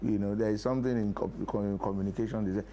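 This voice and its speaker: A man's voice: fairly low pitch, fairly even intonation, fast, dark tone. A middle-aged or older Nigerian man, a play producer, explains his points in a TV interview, repeating key phrases for emphasis. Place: TV studio talk show. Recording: clear background noise.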